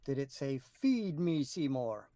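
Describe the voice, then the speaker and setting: A man's voice: mid-range voice, sing-song, slow, crowd noise. A man chatting casually as a podcast co-host, speaking informally. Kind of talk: casual chat. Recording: some background noise and clicks.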